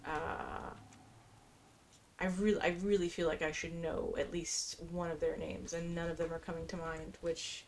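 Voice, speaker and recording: A woman's voice: on the low side, flat delivery, normal speed, slight background noise. A woman doing casual gameplay commentary, chatty and musing as she plays and reads quest text aloud. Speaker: gameplay streamer. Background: faint game music.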